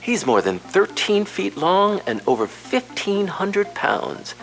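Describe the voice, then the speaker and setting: A television nature announcer in an audio play. His voice swings broadly in pitch over a music bed. He is showy, authoritative and emphatic, full of enthusiasm. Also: sing-song, takes it slowly, gravelly, exaggerated voice. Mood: showy, informative.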